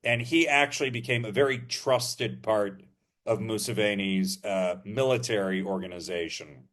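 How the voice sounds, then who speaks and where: A man co-hosting a podcast, explaining history in a measured, casual way, thinking aloud with frequent ums and uhs. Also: lowish voice, fairly bright tone.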